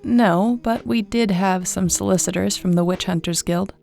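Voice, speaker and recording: A woman playing a wry, attentive detective's assistant in an audio drama, speaking in a conversational, gently teasing way. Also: medium pitch, moderate pace, gravelly, lightly acted. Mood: wry, casual. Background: background music.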